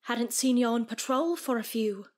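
An actress playing a fretful, doting Victorian London streetwalker, deferential and solicitous, in gothic audio drama dialogue. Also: female voice, on the high side, normal speed, natural voice. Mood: worried, caring.

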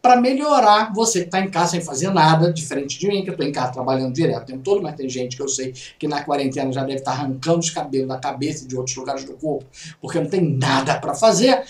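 Chatty Brazilian man, roomy and off-mic. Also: far from the mic. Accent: Brazilian Portuguese. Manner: chatty, jokey asides, lively swings in pitch. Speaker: blog host reading gaming news. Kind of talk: casual news commentary over screen share. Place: small room at home. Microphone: webcam in corner.

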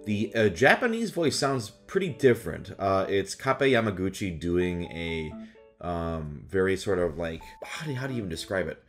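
A young adult man, a voice actor, chatting casually to camera in an animated way at home. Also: low-pitched, moderate pace, soft background music. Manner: animated, slips into exaggerated character voices. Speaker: voice actor and video host. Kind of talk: casual chat to camera about voice roles. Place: small furnished room at home, indoors.

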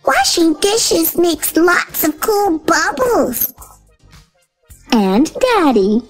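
A woman reading a children's picture book aloud in a slow, lilting storytelling voice. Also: extremely high pitch, sing-song, soft background music. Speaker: storybook reader. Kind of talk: children's read-aloud narration.

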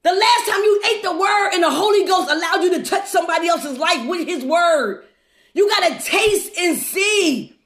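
A woman delivers an exuberant devotional sermon in a strikingly high-pitched voice. She exhorts fervently, repeating phrases and putting questions straight to her listeners. The sound is roomy, with a clear echo around her words. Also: normal speed, fairly bright tone. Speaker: preacher leading a livestream devotional. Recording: echoey, reverberant room.

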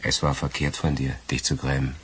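A man's voice: rumbling low, monotone, brisk, slightly rough, full character voice. An actor in a German radio crime drama playing a wistful, kindly old man past seventy-five, speaking reflectively.

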